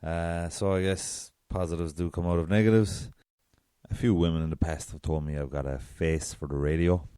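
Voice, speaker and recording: An Irish man with a very deep voice hosting a solo podcast, chatty and self-deprecating. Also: fairly even intonation, normal speed, dark tone, smooth, no room sound. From south of Ireland. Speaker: podcast host.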